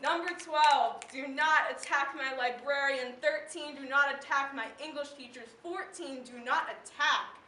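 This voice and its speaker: A teenage young woman with an American accent delivering slam poetry in an impassioned, emphatic, declamatory way with deliberate pauses. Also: sits high, sharp tone. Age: teen. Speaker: slam poet. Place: live event in a room. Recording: roomy, distant mic, faint background noise.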